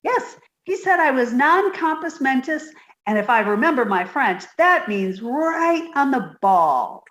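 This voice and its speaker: A woman's voice: high-pitched, sing-song, unhurried, a bit bright, echoey room, full character voice. A woman playing a naive, dim young secretary with an earnest, literal manner in a 1950s-style radio comedy.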